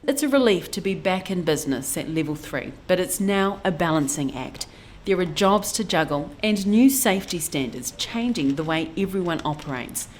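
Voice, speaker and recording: A woman's voice: lowish voice, a little hiss or hum. A woman with a New Zealand accent narrating a scripted news feature as a reporter.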